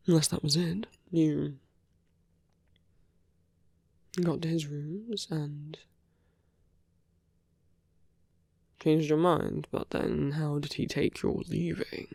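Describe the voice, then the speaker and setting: A performer voicing a smug, flirtatious villain in an ASMR roleplay, teasing and intimate, with a breathy murmur that often slips into whispers. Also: mid-range voice, slow pace, darkish tone, dry recording, clean studio sound. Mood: sly, probing. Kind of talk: ASMR romantic roleplay audio drama.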